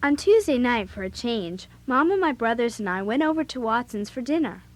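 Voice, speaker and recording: A woman playing an earnest, bossy young girl babysitter in an audio drama, with an expressive, youthful way of talking. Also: on the high side, brisk. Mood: proud, reflective. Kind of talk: audio drama acting and first-person narration.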